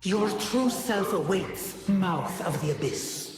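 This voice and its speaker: An actress voicing a coldly regal, menacing and seductive alien void empress in a video game, slow, solemn and commanding, with otherworldly reverb. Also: female voice, mid-range voice, expressive, darkish tone. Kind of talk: video game character voice lines. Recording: game audio, cavernous reverb, music behind.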